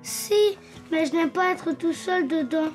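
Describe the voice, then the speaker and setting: A performer voicing an eager, tender little boy donkey in a French preschool cartoon, earnest and expressive. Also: extremely high pitch, a little slow. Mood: pleading. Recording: music behind the voice.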